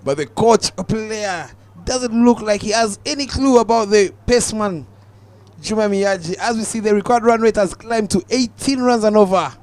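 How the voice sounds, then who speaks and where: A young Ugandan man giving live cricket commentary, calm and measured, lifting his voice on the big moments. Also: high-pitched.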